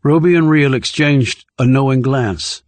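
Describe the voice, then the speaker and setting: A man's voice: medium pitch, measured pace, dry recording. A man reading scripted thriller narration as an audiobook narrator.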